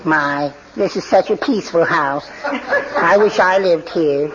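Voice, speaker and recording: Meek henpecked little man, high polite voice, really noisy 40s radio comedy. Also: animated, takes it slowly. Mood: meek, chirpy. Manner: timid, polite, mild-mannered. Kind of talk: 1940s radio comedy acting. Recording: noisy, muffled 1940s radio recording.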